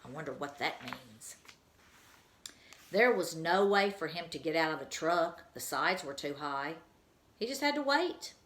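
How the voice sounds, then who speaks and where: A woman reading a children's book aloud as a teacher, with an expressive storytelling manner, in an echoey room. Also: lowish voice, moderate pace.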